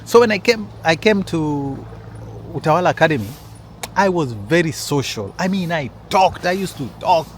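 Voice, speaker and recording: A man's voice: fairly high pitch, expressive, normal speed, slight background noise. A man telling a personal story in a casual, rambling way, with frequent restarts and asides to his listeners. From Kenya.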